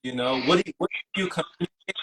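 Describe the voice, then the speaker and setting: Higher-pitched man speaking in halting phrases. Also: heavy background noise. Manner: halting, appreciative, broken phrasing. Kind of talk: casual reflective conversation.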